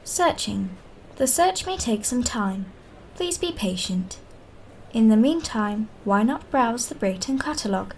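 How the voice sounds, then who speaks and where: Polite, high-voiced computer assistant. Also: female voice, expressive, measured pace, a little mellow, slight background noise. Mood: polite, impassive. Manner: formal, scripted announcements in short phrases. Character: polite automated female station computer terminal. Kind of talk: sci-fi audio drama acting.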